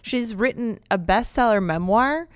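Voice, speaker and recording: A woman with a Buffalo accent and a nasal, smooth, clear voice co-hosts a casual chat. She talks in a chatty way, drops sarcastic asides and laughs easily. The sound is close and dry, with no room in it. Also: on the high side, animated, measured pace, no echo. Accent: Buffalo, New York. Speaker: podcast co-host.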